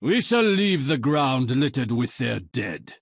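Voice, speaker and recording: Solemn warrior guy from a game, raspy, close dry sound, formal and stern. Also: mid-range voice, big pitch swings, takes it slowly, dry recording, exaggerated voice. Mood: stern, commanding. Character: stern, honourable male warrior in a gothic tale. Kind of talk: video game character voice acting.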